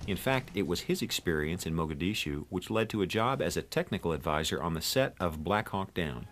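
A male narrator delivers scripted promotional lines in an even, announcer-style read. His voice sits very deep. Clear background music plays under him. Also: brisk.

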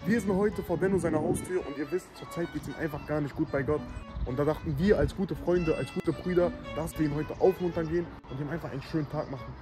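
A young man in a casual vlog, loud, playful and mocking toward his friends, filmed on a handheld phone. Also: a little high, fast talker, over music. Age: young adult. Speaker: vlogger.

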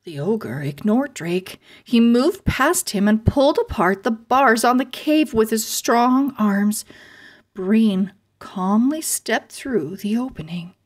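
A woman narrating a children's chapter book aloud, with careful, expressive storybook phrasing. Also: fairly high pitch, takes it slowly. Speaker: read-aloud host and narrator.

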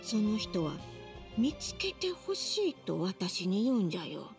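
A woman's voice: mid-range voice, very animated, a little slow, a little mellow. A woman voicing a forgetful, cheerful older grandmother, with old-fashioned speech and a musing way of talking. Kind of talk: Japanese game dub. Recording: music under the dialogue.

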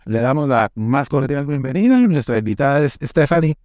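A man hosts a studio interview. He sounds animated and emphatic, at a middling pitch. His lapel mic gives a close, dry sound with no room echo. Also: normal speed. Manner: animated, emphatic, lots of gestures. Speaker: host. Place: studio set with classroom backdrop.

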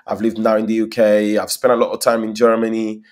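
A man's voice: fairly low pitch, completely flat delivery, fairly quick, darkish tone. A young man from Spain, a company founder, talking at length in a video call interview and often repeating words as he thinks. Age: young adult. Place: small room at home, indoors. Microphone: laptop webcam mic on a video call.

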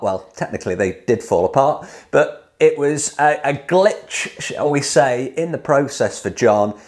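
A man's voice: medium pitch, a little mellow. A man in his forties with a British accent, hosting a chatty, enthusiastic explainer straight to camera in a studio. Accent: British English. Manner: upbeat, chatty, salesy enthusiasm. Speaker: DIY hot tub course creator and channel host.

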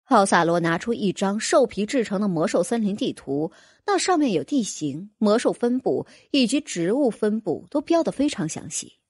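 A woman's voice: mid-range voice, big pitch swings, moderate pace, few pauses, a little mellow, breathy edge, no echo, clean studio sound. A woman narrating a fantasy audiobook, reading a scripted story in a dramatic storytelling style.

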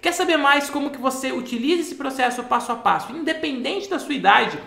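Animated man teaching to a webcam. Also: very high-pitched, brisk, vocal fry. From Brazil. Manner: animated, gestures a lot while explaining. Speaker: memory course teacher. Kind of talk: direct-to-camera explainer video. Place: small office, indoors. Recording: echoey room.

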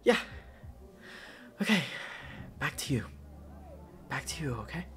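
Man acting as animated, rambling young best friend. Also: on the high side, noisy background. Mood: playful, rambling. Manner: rambling, halting, repeats himself, slangy. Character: young male best friend at a party, affectionate, goofy, reassuring. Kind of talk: intimate ASMR roleplay acting, casual.

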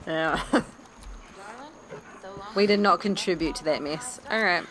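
A woman's voice: fairly low pitch, slow pace, a bit bright. A woman playing a game with a friend, chatting in an easygoing way. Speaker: game player. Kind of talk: casual co-op gameplay chat. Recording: game music playing under voice.

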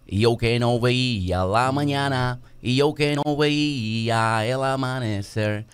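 A man in his thirties whose first language is English, a guest singer speaking halting Spanish mixed with English in a radio studio on a boom mic. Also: smooth. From United States. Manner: halting Spanish, mixing in English, many pauses. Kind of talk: casual radio interview.

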